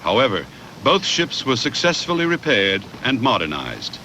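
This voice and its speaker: Male documentary narrator with lively intonation, noisy backing. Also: mid-range voice. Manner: measured, factual delivery.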